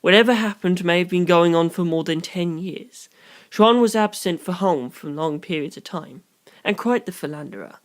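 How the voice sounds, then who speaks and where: A reader voicing a calm, analytical young detective in an audiobook, speaking in measured, formal, deliberate phrases. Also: mid-range voice, lightly acted. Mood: grave, analytical. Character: Tsukumajuku, calm analytical young detective explaining grim theories.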